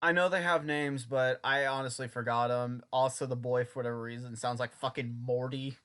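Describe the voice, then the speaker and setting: A man's voice: medium pitch, steady tone, a little fast, fairly bright tone, smooth. A young man reviewing an old anime dub in a casual, sarcastic, rambling way.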